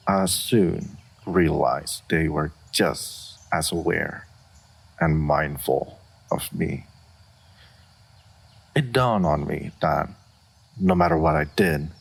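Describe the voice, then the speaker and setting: A man playing an ancient, aloof male dragon in a fantasy roleplay audio, speaking formally and deliberately, stoic and wise, with a gravelly, husky voice. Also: a bass voice, exaggerated voice. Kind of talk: roleplay audio monologue, measured fantasy acting.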